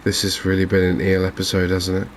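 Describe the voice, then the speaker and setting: A man giving softly spoken, ASMR-style commentary as host of a gaming channel, in a halting, understated way. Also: sits low, completely flat delivery, slight background noise.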